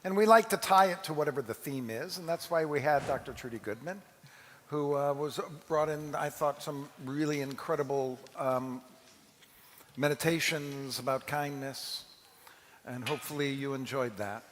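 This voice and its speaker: Guy rabbi explaining a reading to his congregation, kinda halting and chatty, voice on the higher side, big echoey hall. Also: slight background noise. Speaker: rabbi introducing a dramatic reading. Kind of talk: informal spoken introduction to a congregation. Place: large reverberant hall, amplified to an audience. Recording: long hall reverb.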